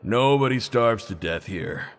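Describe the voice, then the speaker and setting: A man's voice: mid-range voice, lively intonation, unhurried, slight background noise. Emphatic, earnest working man. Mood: firm, earnest. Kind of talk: video game character dialogue.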